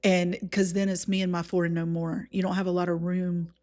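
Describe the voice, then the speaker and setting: A woman's voice: fairly low pitch, little pitch movement, fairly quick, darkish tone. A woman hosting a podcast, talking in a reflective, conversational way with easy laughs. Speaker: podcast host.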